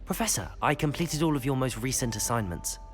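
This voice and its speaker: A man's voice: mid-range voice, lively intonation, a little fast, music in the background, natural voice. An actor playing a polite, curious young male wizard student in a video game, with an earnest manner. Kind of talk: video game character acting.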